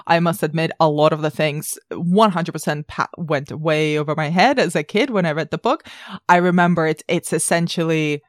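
A woman's voice: deep voice, normal speed, no room sound, studio quality. A woman hosting a film podcast, talking casually in a considered, reflective way.

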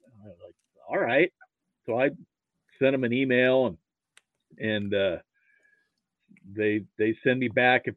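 An American man chatting casually as an interview guest, telling anecdotes in a halting way with repeated starts. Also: mid-range voice, fairly even intonation, dry recording. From Nebraska, US. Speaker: podcast guest, sports archivist. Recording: muffled, phone-like narrow-band line.

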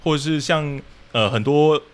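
A man's voice: a little high, moderate pace, smooth. A man with a Taiwanese Mandarin accent, answering casually as a podcast guest and explaining things as he thinks aloud. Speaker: guest, radio drama troupe leader.

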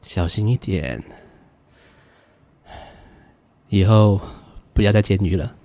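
Guy playing strict but caring math teacher, malaysian accent, lowish voice, sighs and gets flustered, radio drama. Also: normal speed, creaky, dark tone. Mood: tender. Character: earnest, easily flustered male math teacher recovering from injury. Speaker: amateur voice actor. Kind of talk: Chinese radio drama acting.

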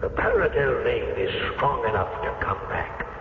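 A man's voice: mid-range voice, expressive, normal speed, gravelly, muffled recording. An actor voicing the ghost of a dead businessman in a 1970s radio drama, solemn, pleading and admonishing through heavy reverb. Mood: reproachful. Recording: echoey, distant, noisy old radio recording.

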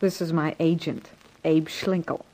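An actress playing a brassy young starlet, pert and forward, in a noisy 1950s radio recording. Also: female voice, sits low, fairly even intonation, unhurried, darkish tone. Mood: pert, testy. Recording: old radio recording with clear noise.

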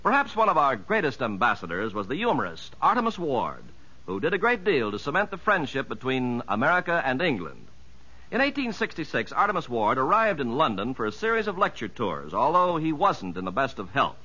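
A man's voice: medium pitch, huge pitch swings, a little fast, bright, forceful tone. A man announcing in a formal, emphatic scripted 1950s radio narration style. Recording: muffled old radio broadcast.

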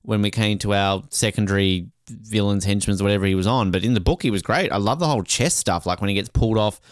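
A man's voice: on the low side, fast talker, dry recording, clean studio sound. An Australian man chatting casually as a podcast co-host, in a jokey, rambling, sweary way.

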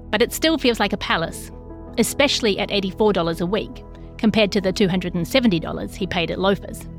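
A woman's voice: medium pitch, vocal fry. A woman with a New Zealand accent reading a scripted news feature as a journalist. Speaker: journalist narrating a feature story. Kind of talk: scripted narration.

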